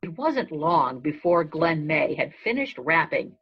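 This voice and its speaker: A woman reading a children's story aloud in measured, deliberate phrasing. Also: sits low, very animated, breathy edge. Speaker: storybook reader. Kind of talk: read-aloud narration of a children's story in a goat's first person. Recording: dull lo-fi recording.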